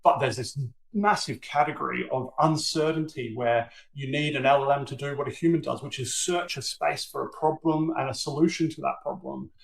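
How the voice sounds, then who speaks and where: A man's voice: medium pitch, steady tone, a little fast, vocal fry. A man speaking as a webinar panelist, thoughtful and hedging with fillers as he explains. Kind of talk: business webinar discussion.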